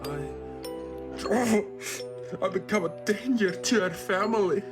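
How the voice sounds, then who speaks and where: A man playing an anguished, pleading bird-creature father in a dramatic audio story. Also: sits high. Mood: tearful, reluctant. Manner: halting, pleading, overwrought. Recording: loud music behind.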